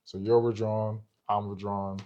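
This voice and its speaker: A man's voice: lowish voice, little pitch movement, unhurried, mellow, dull audio. A young man co-hosting a podcast, talking casually about relationships and explaining step by step while checking for agreement. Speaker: podcast co-host.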